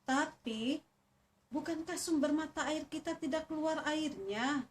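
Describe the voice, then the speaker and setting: A trusting, hesitant elephant from a children's fable, acted out by a woman. It asks things in a friendly, high voice. The sound is a little distant, with faint noise. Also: a little slow. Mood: doubtful. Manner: questioning, friendly.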